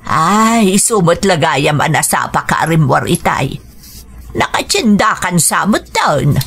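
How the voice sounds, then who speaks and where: An actress with a northern Philippine accent playing a friendly, generous middle-aged village aunt in a radio drama. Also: female voice, fairly low pitch, sing-song, a little slow, gravelly, a bit bright, exaggerated voice. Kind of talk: Ilocano radio drama acting.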